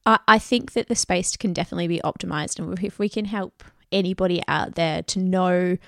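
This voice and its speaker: A woman's voice: lowish voice, a little fast, vocal fry, dry recording, clean studio sound. A woman co-hosting a casual podcast, giggly and earnest, rambling loosely as she talks. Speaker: podcast co-host. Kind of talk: casual chat.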